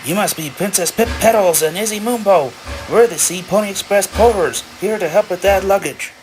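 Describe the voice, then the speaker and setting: A man's voice: high voice, normal speed, over music, lightly acted, rough recording. A man voicing an eager, over-polite cartoon sea pony porter with a formal, stilted sales delivery. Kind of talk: animated cartoon voice acting.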